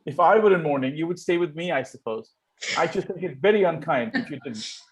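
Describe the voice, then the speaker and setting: Casting: idle, cheeky young Victorian gentleman played by a man, lightly raised pitch, breezy teasing, amateur comedy read. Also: expressive, a little fast, close to an everyday voice, low-quality recording. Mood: flippant, teasing.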